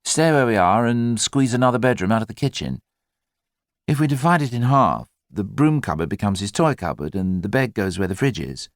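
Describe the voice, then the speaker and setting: Man acting a fretful new father, animated and practical. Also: lowish voice, fairly quick, dry recording, studio quality. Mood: fretful, brisk. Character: anxious, practical new father, fussing and wry. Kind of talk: audiobook character dialogue.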